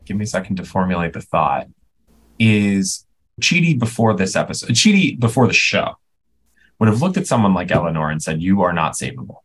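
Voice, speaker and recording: A man co-hosting a podcast, thinking aloud with halting restarts as he searches for words. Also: low-pitched, very animated, slightly rough. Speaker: podcast co-host. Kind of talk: casual discussion podcast.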